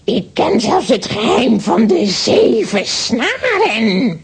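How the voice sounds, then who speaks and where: A woman's voice: a little high, big pitch swings, takes it slowly, vocal fry, slightly rough, exaggerated voice. An actress playing a boastful, spiteful centuries-old witch in a children's radio play, theatrical and gloating. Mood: menacing, boastful.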